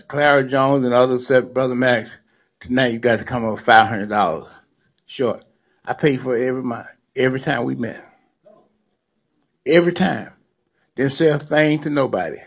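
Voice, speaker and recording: A man in his seventies giving a speech to a gathering, preacherly and repeating phrases for emphasis. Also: medium pitch. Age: 70s. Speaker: lawyer and community activist.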